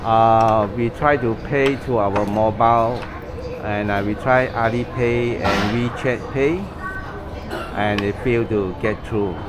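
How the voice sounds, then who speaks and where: A man's voice: fairly low pitch, fairly even intonation, measured pace, a crowd in the background. A middle-aged man casually narrating a travel vlog while walking through a food court, a station and a train, explaining things as he goes. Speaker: travel vlogger.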